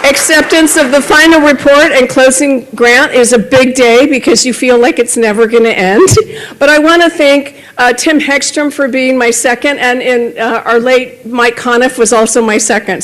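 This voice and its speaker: A woman's voice: high-pitched, poor audio. A woman giving a conversational report at a club meeting, speaking from a podium with small self-corrections. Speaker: club member reporting on a grant project.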